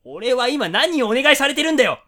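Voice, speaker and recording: An actor playing an exasperated character trapped in a dark room, with blustery, incredulous retorts in a comedy radio drama. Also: high voice, big pitch swings, a little fast, heavily acted. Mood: exasperated outrage.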